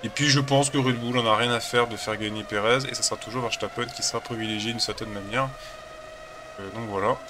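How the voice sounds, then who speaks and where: A man's voice: mid-range voice, fairly even intonation, a little fast, noisy background. A man with a cold chatting casually as a gaming channel host, rambling and hesitant while he plays a racing game. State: has a cold.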